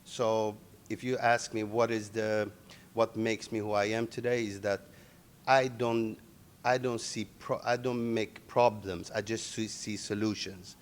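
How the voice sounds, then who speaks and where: A middle-aged man, born in Iran and raised in Sweden, answering interview questions in halting English full of restarts. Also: fairly low pitch, little pitch movement, clean tone. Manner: halting non-native English with many restarts. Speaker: interviewee, MMA organization owner.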